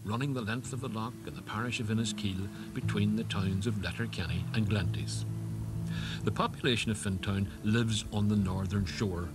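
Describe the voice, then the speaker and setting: A middle-aged man with a Northern Irish accent, presenting and interviewing for a television documentary. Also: lowish voice. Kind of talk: scripted documentary narration and casual interviewing.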